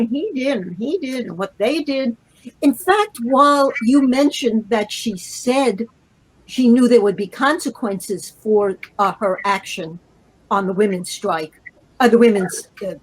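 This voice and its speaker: An older woman, a playwright and guest, reminiscing off the cuff in a halting way that circles back over phrases. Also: on the high side, husky, low-quality recording. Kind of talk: spontaneous reminiscence.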